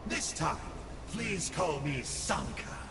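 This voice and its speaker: Casting: high, gravelly voice for a glib Abyss schemer, distant hall echo in a noisy game capture. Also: male voice, sing-song, slow, full character voice. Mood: theatrical. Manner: glib, mocking, showy. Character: sly theatrical male Abyss scholar.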